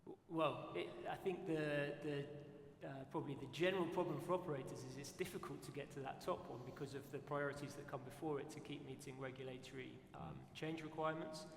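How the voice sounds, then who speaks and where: A man speaking on a conference panel in a measured, deliberate way, often pausing on fillers. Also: on the high side, fairly even intonation. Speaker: operator panelist. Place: conference hall on stage. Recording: echoey conference hall with faint noise.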